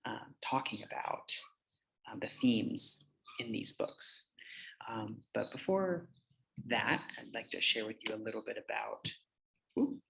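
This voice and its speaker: A man's voice: fairly low pitch, animated, a little slow, vocal fry, fairly bright tone, a little hiss or hum, poor audio. A man with an American accent giving an informal slide presentation in a conversational, hesitant manner. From United States. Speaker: teacher giving a conference presentation.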